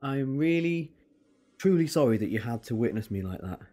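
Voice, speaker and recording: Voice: mid-range voice, lively intonation, moderate pace, dark tone, close to an everyday voice. An actor playing an eccentric alien time traveller in an audio drama, wry and quibbling but earnest and persuasive. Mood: apologetic, earnest. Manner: wry, quibbling, turning solemn.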